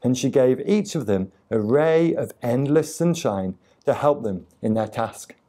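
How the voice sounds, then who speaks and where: Male storyteller, children's picture book reading, slow pace, mellow tone, expressive and deliberate. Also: medium pitch, slight background noise. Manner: deliberate, expressive reading with acted sound effects. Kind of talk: storytime narration of a children's tale.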